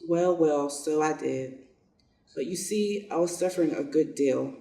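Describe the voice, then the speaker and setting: A man's voice: fairly high pitch, unhurried, creaky, warm tone. A man playing a pompous, self-absorbed Japanese general who excuses himself, in a staged reader's theater drama. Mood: blustering, offhand. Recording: roomy, distant microphone.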